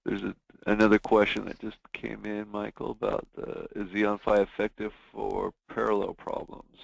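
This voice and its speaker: Low gravelly male moderator, brisk, dull dry webinar line. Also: completely flat delivery, dry recording. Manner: brisk, routine thanks. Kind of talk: hosting webinar wrap-up.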